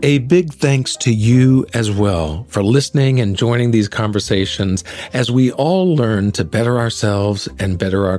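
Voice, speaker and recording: A man's voice: mid-range voice, darkish tone. A man speaking as a podcast host in a conversational interviewing style.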